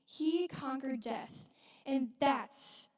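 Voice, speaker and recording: A woman playing a widowed young mother from biblical Galilee in a dramatic church monologue, speaking earnestly and haltingly with weighted pauses. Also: high-pitched, darkish tone. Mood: reverent, hopeful. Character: widowed young Galilean mother, wounded, reverent witness of Jesus. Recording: echoey hall, distant microphone.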